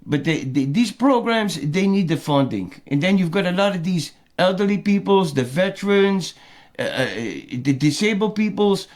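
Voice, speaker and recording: A man's voice: sits high, lively intonation, normal speed. A man playing a ranting middle-aged immigrant from Portugal, speaking broken English with a put-on Portuguese accent and calling himself by name in the third person. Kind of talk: comedic character monologue.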